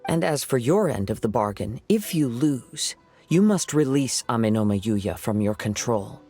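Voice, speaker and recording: An actor voicing a calm young wandering samurai in a game cutscene, speaking in a measured, earnest tone. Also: male voice, medium pitch, slightly rough, soft background music.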